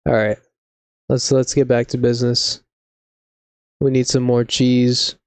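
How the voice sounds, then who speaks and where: Slow, flat-toned, laid-back streamer, sex unclear. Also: mid-range voice, no room sound. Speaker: video game live streamer. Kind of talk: casual live stream chat and commentary.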